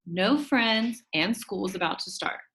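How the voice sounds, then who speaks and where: A woman reading a children's book as a shy, quirky, upbeat school kid, chummy and expressive with dramatic emphasis. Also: medium pitch, unhurried, poor audio. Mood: chatty, upbeat. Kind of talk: first-person children's book narration.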